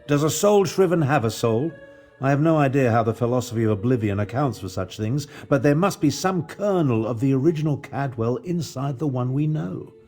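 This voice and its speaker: Grave, learned male mage played by a man for a fantasy game, mellow and formal, deliberate orders, faint music behind. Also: mid-range voice, expressive, lightly acted. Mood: grave, urgent. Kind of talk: video game dialogue.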